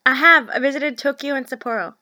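A woman's voice: sits high, a little fast, sharp tone, no echo. A woman hosting a podcast, chatty and upbeat with frequent fillers, introducing her guest. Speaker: podcast host. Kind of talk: casual podcast interview.

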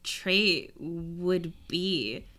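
A woman's voice: fairly low pitch, extremely slow, bright, forceful tone. A woman talking casually in a group discussion, chatty and light with frequent giggles.

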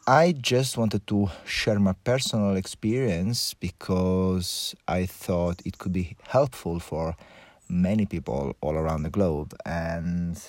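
A man from Italy with an Italian accent, hosting a podcast as a personal monologue in reflective, halting phrases with repeated restarts. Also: low-pitched, a little mellow, no room sound. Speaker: podcast host.

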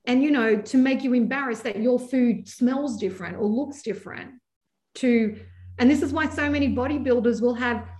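An Australian woman in her forties, a podcast guest chatting casually, quick to joke and laugh at herself. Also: on the high side, darkish tone. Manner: joking, self-deprecating, quick to laugh. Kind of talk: casual chat.

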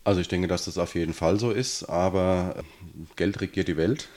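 Very deep-voiced man in his forties. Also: a little mellow, a little hiss or hum. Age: 40s. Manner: measured, hesitant with fillers. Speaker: city council member, guest. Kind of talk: podcast interview.

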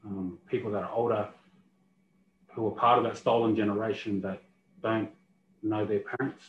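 Man, Australian accent, low voice, hesitant, many ums, echoey room, muffled sound. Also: steady tone, normal speed. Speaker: rugby league player, guest. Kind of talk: casual interview chat. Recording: roomy, muffled, faint hiss.

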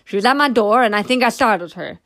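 A woman's voice: a little high, animated, fast talker, a bit bright, dry recording, studio quality. A woman voicing a shifty, evasive young man who turns falsely polite in a read-aloud story. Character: shifty young male brewer, sullen and evasive.

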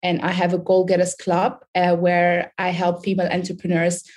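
A woman's voice: on the low side, completely flat delivery, moderate pace, darkish tone. A woman from Sudan, a confidence coach, talking as a guest on a podcast in an upbeat, encouraging way and laughing often. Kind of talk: casual interview chat.

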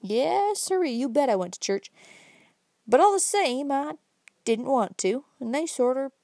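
A chatty old country fellow with a homespun drawl, as a woman puts him on for a storybook reading. He talks emphatically and a touch high, with lively rises, in a dry close recording. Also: measured pace, dry recording. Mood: folksy. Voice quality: rustic drawl with dialect. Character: folksy old countryman, talkative, pipe-smoking. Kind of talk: audiobook character reading.

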